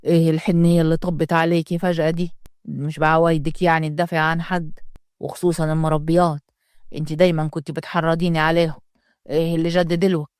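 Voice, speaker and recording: Woman doing a stern Upper Egyptian patriarch: low, flat, rapid and gruff, dry sound. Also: no echo, natural voice. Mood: suspicious. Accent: Upper Egyptian Arabic. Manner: gruff, commanding, blunt threats. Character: harsh stern wealthy Upper Egyptian father. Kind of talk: storytelling, acted dialogue.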